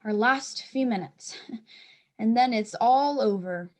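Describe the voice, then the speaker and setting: A woman plays an anxious schoolgirl in a stage play reading. The voice sits very high. The delivery is fretful and theatrical, treating trouble as a disaster. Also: takes it slowly, a little mellow. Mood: dramatic, restless. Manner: fretful, dramatic. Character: anxious, dramatic schoolgirl dreading punishment.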